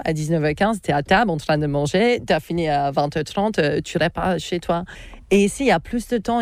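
A woman from Australia with an Australian English accent, speaking French as a second language in a casual interview, animated, laughing often and hunting for words. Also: lowish voice, no room sound. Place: Orbe area in the mountains.

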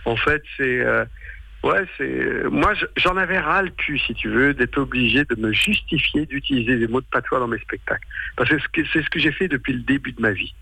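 Male stage performer, sixties, native patois speaker. Gravelly, bright voice, hesitant and colloquial interview talk. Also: mid-range voice, fairly quick, no room sound, low-quality recording. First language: western French patois. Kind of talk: casual radio interview.

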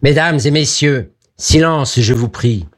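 Man as an inhuman disembodied accuser, raised pitch, clear and piercing, solemn, formal, slow storybook proclamation. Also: close to an everyday voice. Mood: cold, accusing. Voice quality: high, clear, penetrating.

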